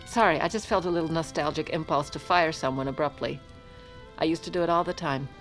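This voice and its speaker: A woman's voice: low-pitched, fairly quick, a bit bright, rough recording. An actress playing a scheming, sardonic former First Lady who narrates in a dry, self-justifying, theatrical way. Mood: imperious, dry. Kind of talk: scripted audio-drama narration and dialogue. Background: music.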